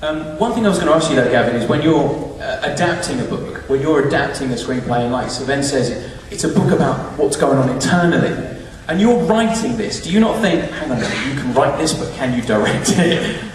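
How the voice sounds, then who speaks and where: A man's voice: medium pitch, animated, brisk. Chatty man hosting in an echoey hall. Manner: chatty, informal. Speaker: Q&A host. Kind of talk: casual live panel moderation. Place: large cinema auditorium, public Q&A. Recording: echoey cinema hall, far-off, noisy.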